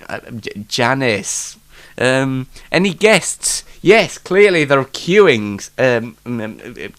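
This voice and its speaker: Animated, self-deprecating British man hosting. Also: medium pitch. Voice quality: congested, bunged-up nose. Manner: self-deprecating, mock-exasperated, rambling. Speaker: host of a homemade online talk show. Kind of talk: casual, unrehearsed solo show chat. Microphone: microphone, speaking alone.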